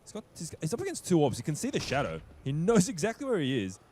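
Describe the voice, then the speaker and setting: A man doing rapid, excitable play-by-play commentary on a match. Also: fairly high pitch, heavy background noise. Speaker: esports caster.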